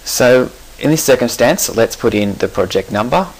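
A man talking through a software settings screen in a relaxed, explanatory way, pausing with fillers and correcting himself. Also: mid-range voice, a little hiss or hum, low-quality recording. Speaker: software tutorial presenter.

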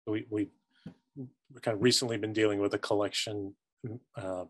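A middle-aged man with a low voice speaks as an archivist on a panel. His delivery is measured and halting, careful with each phrase. The sound is dry, on a video call. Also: steady tone, no room sound. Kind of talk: academic discussion on a video call. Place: book-lined office, indoors. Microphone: video call, close to computer.

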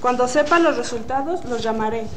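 A woman playing a calm, formal hospital doctor in a student radio drama. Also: fairly high pitch, fairly quick, slight background noise, natural voice.

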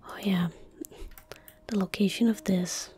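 A woman in her twenties speaking in a breathy, gentle whisper close to the mic, giving unhurried ASMR commentary as she plays a game. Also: medium pitch, steady tone. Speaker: ASMR gaming streamer. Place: indoor room at a desk. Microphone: close desk microphone.

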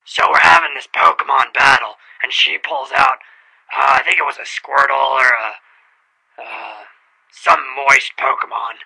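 A man's voice: medium pitch, steady tone, a little slow, vocal fry, sharp tone, husky, full character voice, poor audio. A man playing a boastful, crude, laid-back buddy calling in to a comedy radio show, talking casually. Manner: casual, bragging. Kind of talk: comedy radio call-in skit.

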